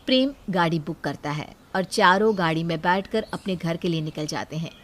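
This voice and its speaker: A woman's voice: on the low side, normal speed. A woman storyteller reading a scripted moral story as narrator.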